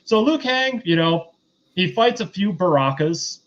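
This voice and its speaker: A man's voice: high-pitched, lively intonation, a little slow, a bit bright, highs cut off. A man hosting a casual film review, recapping in a loose, rambling conversational way.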